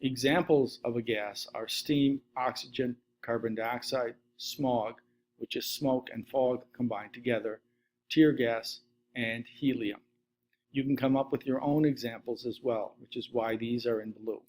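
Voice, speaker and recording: A man's voice: medium pitch, unhurried. A middle-aged man, a science teacher giving a recorded slide lesson at his desk webcam, steadily reading through lists. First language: Latvian. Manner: steady list-reading delivery.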